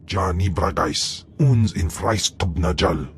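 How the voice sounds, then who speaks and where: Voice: sits low, expressive, slow pace, a little hiss or hum. An actor voicing a brutish alien creature in a video game, speaking a guttural invented tongue. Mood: gruff.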